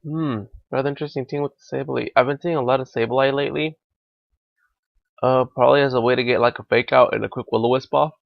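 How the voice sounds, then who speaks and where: Raspy, slightly sick young man. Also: medium pitch, fairly even intonation, fast talker, no room sound, muffled recording. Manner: thinking aloud, with frequent fillers. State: slightly hoarse from illness. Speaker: gaming commentator. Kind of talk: live competitive game commentary.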